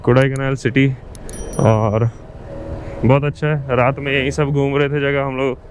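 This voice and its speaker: A man's voice: medium pitch, little pitch movement, a little slow. A man with an Indian accent gives casual motovlog commentary, switching between Hindi and English. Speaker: motorcycle vlogger. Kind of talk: casual ride vlog commentary. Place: outdoors, riding a motorcycle. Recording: noisy helmet-mic recording, engine and wind. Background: vehicle and road noise.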